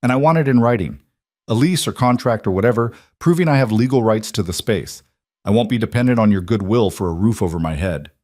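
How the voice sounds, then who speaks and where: A man's voice: fairly low pitch, brisk, a little mellow, dry recording, studio quality, lightly acted. A man voicing a proud, weary pregnant woman, an estranged wife with a firm, guarded manner, in an audiobook. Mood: firm, wary.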